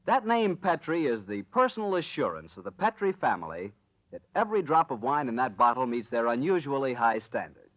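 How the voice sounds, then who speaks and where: A man's voice: medium pitch, big pitch swings, fairly quick, a bit bright, no room sound, low-quality recording. A man with an American accent hosting and announcing in a polished, emphatic 1940s radio sponsor style.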